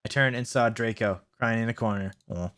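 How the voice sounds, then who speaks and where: A man hosting a comic read-aloud of fan fiction, sardonic, with mocking asides and deliberately kept mistakes. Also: medium pitch, fairly bright tone. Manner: deliberately reads out misspellings, sardonic.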